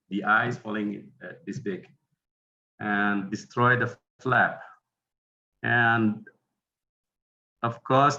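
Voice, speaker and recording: A man's voice: lowish voice, vocal fry, pushed and bright, muffled recording, slight background noise. A man with an Indonesian accent, a Balinese native speaker, giving a hesitant webinar talk full of fillers and restarts. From Bali, Indonesia. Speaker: guest speaker, aircraft technical representative. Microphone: video call.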